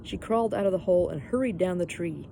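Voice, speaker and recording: A woman's voice: fairly low pitch, quick pace, gravelly, dark tone, a little hiss or hum, rough recording. A woman narrating a children's story expressively, like a teacher reading aloud.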